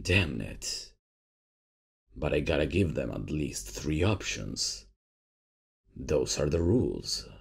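Very deep, laid-back bumbling professor played by a man. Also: exaggerated voice. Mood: flustered. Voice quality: deep, gravelly, husky. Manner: casual, hesitant, chuckling, unprofessional. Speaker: roleplay audio performer. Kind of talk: comedic ASMR roleplay, spoken to the listener.

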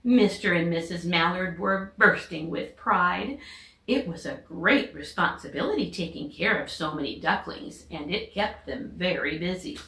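A middle-aged American woman, a teacher, reading a children's storybook aloud with expressive narration. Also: lowish voice, unhurried. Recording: echoey home room recording.

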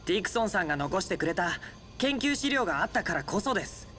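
Earnest, higher-pitched boy researcher. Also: very animated, fast talker, bright, forceful tone, a little hiss or hum, heavily acted. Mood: polite, earnest. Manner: polite, earnest. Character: earnest, polite, thoughtful teenage boy researcher. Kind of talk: anime-style game voice acting. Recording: music behind.

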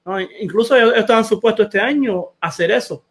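A man co-hosts a baseball show. His voice is high, and his questions come out halting, with many restarts. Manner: stammering, asks questions with restarts. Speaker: co-host. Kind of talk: casual interview questions.

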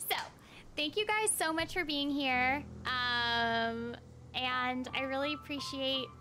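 A young woman chatting casually and brightly animated while livestreaming a game. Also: high-pitched. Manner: bubbly, animated, giggly. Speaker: game streamer. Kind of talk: casual livestream gameplay chat. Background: game music.

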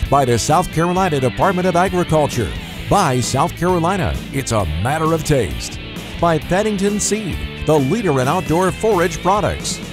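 A man's voice: fairly high pitch, animated, normal speed. A man reading polished, upbeat scripted commercials and sponsor credits as a show announcer. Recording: voice-over over guitar music.

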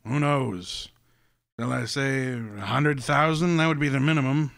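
An actor playing a genteel, scheming older crime boss in a radio drama, speaking in a courtly, grandiloquent, relishing way. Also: male voice, mid-range voice, fairly even intonation, unhurried, creaky, fairly bright tone. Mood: smoothly bargaining. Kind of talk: hardboiled detective radio drama acting.

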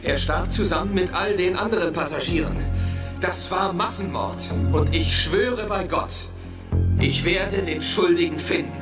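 An earnest male executive in a radio drama. His voice sits fairly high and he speaks in formal, solemn declamation. He sounds distant in an echoing hall, with clear music underneath. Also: unhurried. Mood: impassioned, resolute. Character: earnest businessman giving a solemn, impassioned speech. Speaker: company executive. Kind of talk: formal speech in a radio play.

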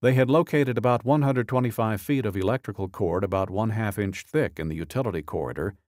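Low-voiced man narrating an audiobook. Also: brisk, a little mellow, no room sound, studio quality. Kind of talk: scripted narration, reading a true-crime statement.

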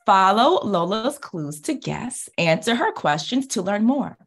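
An American woman in her thirties speaking in an expressive, encouraging teacher manner, as if reading aloud to young children. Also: medium pitch, measured pace. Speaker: speech pathologist and children's author. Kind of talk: children's read-aloud with questions. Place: small indoor office, on a video call.

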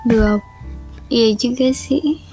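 A teenage girl answering briefly and softly on a late-night video call. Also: on the high side, drawn-out words, husky, faint music behind. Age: teens. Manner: soft-spoken, short flat replies. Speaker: video chat stranger. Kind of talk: shy casual video-call chat. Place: small room at night. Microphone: webcam video call.

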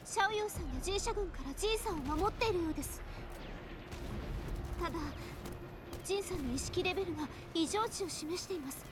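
A woman's voice: very high-pitched, fairly quick, long pauses, background noise. A woman voicing a polite young female android aide, speaking in a courteous, formal way. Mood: calm. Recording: loud game score under voice.

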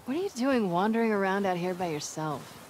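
A woman's voice: mid-range voice, animated, brisk, darkish tone, slight background noise, close to an everyday voice. An actress playing a blunt, teasing young woman who questions her companion casually and dryly in a video game. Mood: dry, curious. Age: young adult. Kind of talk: naturalistic video game acting.

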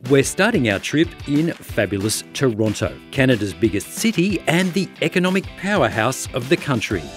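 A man's voice: mid-range voice, sing-song, moderate pace, music in the background. A man, the host, giving upbeat, playful scripted narration for a travel show. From Australia.

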